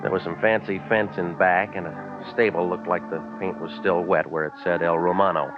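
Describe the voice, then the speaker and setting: An actor playing a cynical, wisecracking American private eye, deadpan and weary, in 1940s radio noir style. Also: male voice, deep voice, normal speed, dull audio, over music, lightly acted. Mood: wry, world-weary. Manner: deadpan, sardonic. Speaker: lead investigator and narrator. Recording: thin, band-limited old radio recording.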